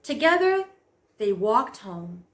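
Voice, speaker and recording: Slow-paced woman reading a children's story. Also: fairly high pitch, big pitch swings, noisy background. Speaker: storytime host and narrator. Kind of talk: children's read-aloud narration. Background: prominent piano music score.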